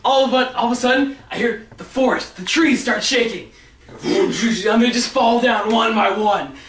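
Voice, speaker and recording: A man telling comic stories in an animated, excitable, rambling way with dramatic build-ups. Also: very high-pitched, moderate pace. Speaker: storyteller. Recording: roomy echo, far from the mic.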